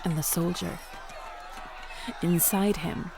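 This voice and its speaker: A woman narrating a dark fairytale in measured, suspenseful short phrases. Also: fairly low pitch, fairly even intonation, vocal fry, a loud crowd, very noisy.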